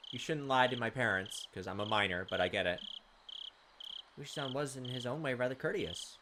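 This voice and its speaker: A man reads a visual novel aloud in a hushed, suspenseful way, lingering on phrases and slipping into casual remarks. His voice is medium in pitch. There is clear noise behind him, with clicks and chirping insects. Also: animated, brisk. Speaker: gaming video host reading a visual novel aloud. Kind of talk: dramatic narration of game text with casual commentary. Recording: clearly noisy home recording, clicks, insect sounds.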